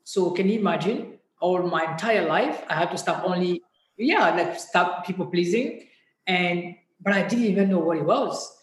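An interview guest speaking candidly and reflectively, often pausing to search for words. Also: medium pitch, roomy sound, a little hiss or hum, poor audio.

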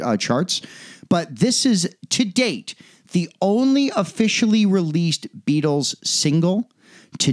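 Chatty man with a slightly high voice. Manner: jokey, rambling, self-correcting. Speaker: podcast co-host. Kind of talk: casual music chat.

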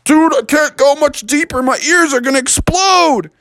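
A man voicing an excitable, easygoing young male bear who talks in surfer slang and exclaims a lot. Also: sits high, normal speed, no room sound, studio quality, pitched above the performer's own voice. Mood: excited.

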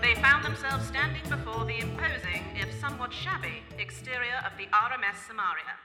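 A woman's voice: fairly high pitch, animated, brisk, close to an everyday voice. Woman as mock-dramatic narrator, music behind. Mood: wry storytelling. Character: wry storytelling narrator of a comic mystery. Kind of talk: scripted radio play narration. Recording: echoey hall, live stage.

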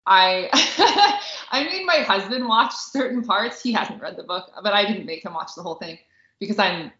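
Woman, bright forceful voice, podcast co-host, casual enthusiastic chat, echoey room, slightly lo-fi. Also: medium pitch, animated, normal speed. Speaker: podcast co-host, romance novelist. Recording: roomy, muffled lo-fi audio.

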